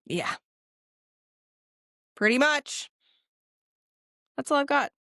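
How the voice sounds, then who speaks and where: A woman's voice: high-pitched, expressive, drawn-out words, gravelly, sharp tone, dry recording, studio quality. A woman of thirty-six chatting casually as a podcast co-host, emphatic and rambling, with slangy, sweary phrasing. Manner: emphatic, profane, philosophical musing in casual slang.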